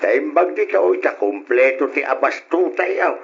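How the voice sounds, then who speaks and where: A man's voice: on the high side, sing-song, unhurried, gravelly, heavily acted. An actor playing an easygoing older husband and father in an old-style radio drama, with an Ilocano accent. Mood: contented. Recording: dull, noisy lo-fi radio sound.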